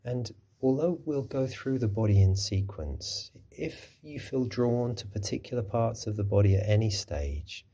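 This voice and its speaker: A man gently guiding a body scan meditation as a mindfulness teacher, in a soothing, unhurried manner. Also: medium pitch, animated.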